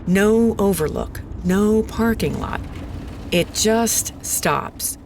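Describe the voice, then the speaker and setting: An actress playing an uneasy female radio presenter, narrating an eerie investigation in a measured, deliberate way. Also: mid-range voice, animated, a little mellow, a little hiss or hum. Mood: foreboding, measured. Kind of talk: scripted first-person narration.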